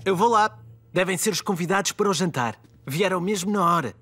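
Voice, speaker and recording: A man playing a calm, mildly firm young father with a European Portuguese accent in a children's cartoon dub. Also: sits high, fairly quick, breathy edge, faint music behind, full character voice. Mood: friendly.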